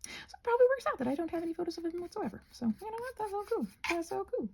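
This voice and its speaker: A woman chatting in a casual, rambling ASMR style, halting and self-interrupting, often slipping toward a whisper. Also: extremely high pitch, big pitch swings, warm tone. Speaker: host of a craft ramble video.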